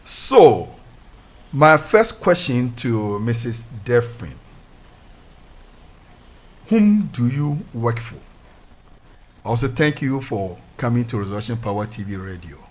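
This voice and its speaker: A man's voice: mid-range voice, expressive, slow pace, pauses often. A man, a pastor hosting a church radio show, interviewing a guest and praying in a fervent, formal way. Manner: fervent, formal, repeats hallelujah.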